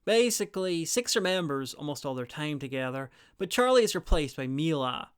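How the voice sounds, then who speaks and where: High-voiced male reviewer, crisp and dry. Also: big pitch swings, normal speed, no room sound. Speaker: review video host. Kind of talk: scripted review narration.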